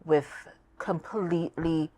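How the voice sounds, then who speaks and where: A woman's voice: low-pitched, fairly even intonation, takes it slowly, warm tone. A woman with a Tobagonian accent hosting a conversational interview, speaking expressively and repeating words for emphasis. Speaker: talk show host.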